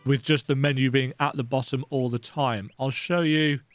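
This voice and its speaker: A man's voice: medium pitch, fairly even intonation, moderate pace, no room sound, soft background music. A man hosting a let's play, giving casual, rambling, conversational commentary on a video game.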